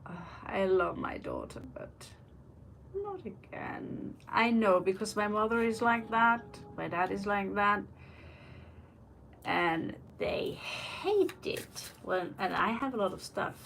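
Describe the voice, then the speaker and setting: A woman's voice: mid-range voice, animated, takes it slowly, gravelly, a bit bright, a little hiss or hum, rough recording. A woman doing a live tarot reading, chatting in a rambling way with personal asides between the cards. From Norway. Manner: rambling, personal asides, reads out viewer questions. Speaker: tarot reader. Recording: faint music behind the voice.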